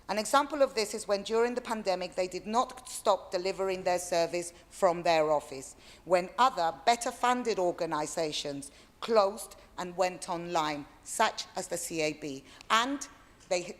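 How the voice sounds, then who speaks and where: Nervous woman reading a pointed statement. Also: medium pitch. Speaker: resident speaking at a council meeting. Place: council chamber. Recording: long reverb of a hall.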